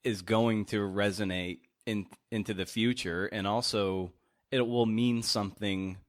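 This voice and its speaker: Low-pitched man hosting a casual interview. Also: fairly even intonation, a little slow. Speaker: podcast host.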